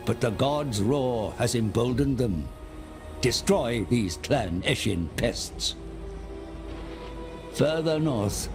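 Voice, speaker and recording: A man playing a cunning seer who advises dragons and demons in a video game cinematic, grave, portentous and persuasive. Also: mid-range voice.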